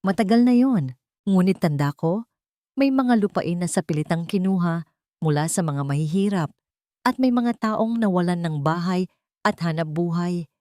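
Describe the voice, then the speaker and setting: Woman plays elderly male lawyer, grave, resolute and deliberate, in a dry-recorded audio romance. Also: on the low side, expressive, warm tone, dry recording, lightly acted. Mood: grave, urging. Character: serious old male lawyer, fatherly and resolute.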